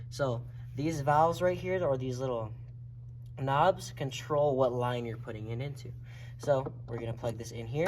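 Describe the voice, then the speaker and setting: A person giving a casual, step-by-step, hands-on tutorial as an instructor. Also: medium pitch. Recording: noisy amateur video recording, background noise.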